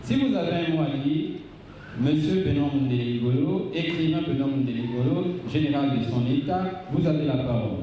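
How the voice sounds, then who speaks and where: A man's voice: a little high, moderate pace, muffled recording, a little hiss or hum. A man from Congo with a Congolese French accent, moderating a book launch with long, ornate questions. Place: hall with a seated audience. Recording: roomy echo from far off.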